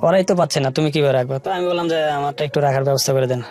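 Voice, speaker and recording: A man with a Bangladeshi accent telling his life story as an interview guest, in colloquial, halting short phrases. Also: a little high, steady tone, darkish tone, faint music behind.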